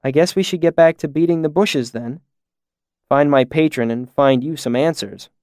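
A man's voice: fairly high pitch, moderate pace, darkish tone, dry recording, clean studio sound, pitched above the performer's own voice, natural voice. A man voicing a wry, self-possessed young woman in an audiobook story. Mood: weary.

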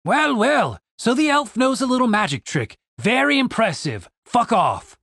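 A man's voice: very high voice, very animated, a little slow, a bit bright, dry recording, studio quality, pitched above the performer's own voice, exaggerated voice. Man doing a sneering, haughty soldier. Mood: mocking. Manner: contemptuous, barking.

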